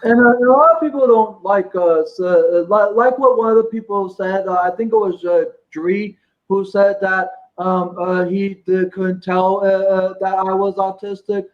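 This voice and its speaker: A man with a high voice who stutters, stalls on "uh" and repeats words as he talks through his thoughts as a stream guest. The audio is dull and lo-fi. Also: fairly even intonation. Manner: stuttering, halting, repeats words, many uh fillers. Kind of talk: casual live stream chat.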